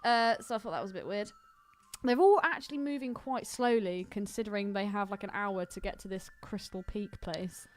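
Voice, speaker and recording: A woman co-hosting a podcast in casual film chat, with an animated, jokey and sweary way of talking. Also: medium pitch, normal speed. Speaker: podcast co-host.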